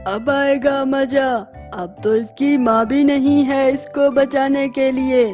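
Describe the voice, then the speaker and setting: Woman acting a high-voiced, slow witch. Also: fairly even intonation, darkish tone, faint music behind. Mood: greedy, gloating. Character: greedy scheming female witch hungry for youth. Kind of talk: children's horror storybook acting.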